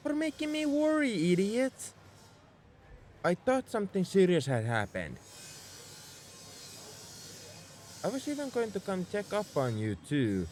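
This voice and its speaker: A man's voice: sits high, big pitch swings, normal speed, a little mellow, a little hiss or hum. A man playing a tsundere mech engineer in a roleplay audio drama, scolding and sarcastic with worry underneath. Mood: exasperated, scolding. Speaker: voice actor.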